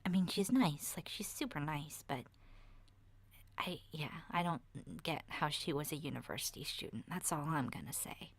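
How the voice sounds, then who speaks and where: A woman voicing a chatty, candid young girl surviving a zombie apocalypse in a soft, breathy, half-whispered ASMR roleplay, talking in a hesitant, rambling way. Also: low voice, expressive, moderate pace. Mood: gossipy. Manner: hesitant, rambling, youthful casual phrasing.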